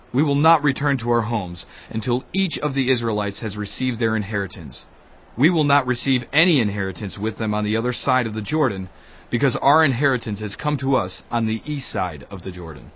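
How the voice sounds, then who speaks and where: Husky humble tribesman asking for land, faint music, muffled sound. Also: medium pitch. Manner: humble, pleading. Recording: dull lo-fi recording with music behind.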